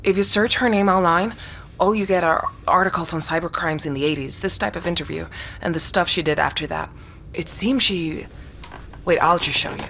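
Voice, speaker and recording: A woman's voice: on the low side, moderate pace, vocal fry, a bit bright. An actress playing an earnest, suspicious woman urgently explaining what she has found, in naturalistic audio drama. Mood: intent, suspicious. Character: earnest, suspicious woman amateur investigator confiding findings. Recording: faint background noise.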